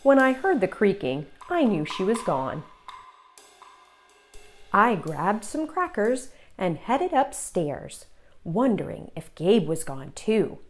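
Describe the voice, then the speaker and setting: A woman narrates a children's story in a theatrical read-aloud style. Her intonation is lively and her pace is unhurried, with dramatic emphasis. Faint music plays behind her. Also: medium pitch. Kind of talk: expressive read-aloud picture-book narration.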